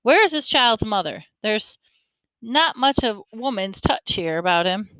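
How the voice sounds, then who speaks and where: A woman's voice: fairly high pitch, lively intonation, unhurried, fairly bright tone, clean tone, no echo, voice pushed up, poor audio. A woman voicing a caring, scolding middle-aged mother who fusses over a boy. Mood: motherly. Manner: fussing, motherly.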